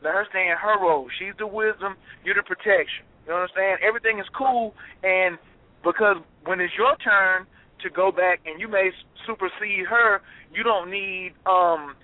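High-pitched man advising over a phone line. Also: moderate pace, no room sound. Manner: animated, preachy, repeats phrases. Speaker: co-host giving advice. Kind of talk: casual call-in radio talk. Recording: phone-line call-in audio.

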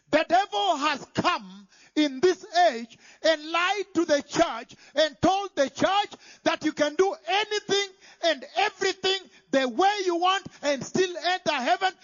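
A man preaching a sermon, emphatic, moving between loud declarations and hushed whispers. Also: very high-pitched. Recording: dull lo-fi sound.

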